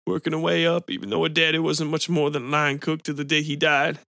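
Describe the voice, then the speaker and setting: A man voicing a bitter, weepy man with a smoker's rasp who pleads and breaks into tears. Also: fairly high pitch, brisk, a bit bright, dry recording, clean studio sound. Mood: tearful.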